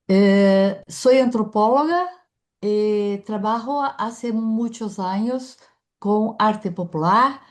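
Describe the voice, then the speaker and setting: An older woman from Brazil with a Brazilian Portuguese accent, a museum director and anthropologist, speaking halting Spanish mixed with Portuguese, full of hesitant filler sounds. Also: medium pitch, a little mellow.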